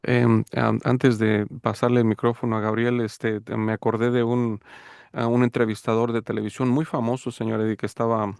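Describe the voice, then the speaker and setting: A man hosting a Bible podcast, hesitant, often filling pauses with "este". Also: mid-range voice, level tone, vocal fry, a little mellow, smooth, dry recording, studio quality. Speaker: podcast host. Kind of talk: moderating discussion.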